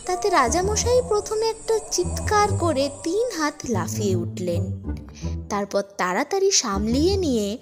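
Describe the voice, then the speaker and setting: High-voiced woman storyteller over music. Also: big pitch swings, a little slow. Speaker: story narrator. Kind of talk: expressive scripted story narration. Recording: loud music behind the narration.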